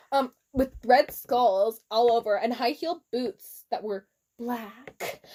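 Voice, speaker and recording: A young woman with a high voice reads fan fiction aloud as comedy. Her delivery is casual and giggly, swinging into mock drama and stepping out for offhand asides. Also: darkish tone. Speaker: amateur fan fiction reader.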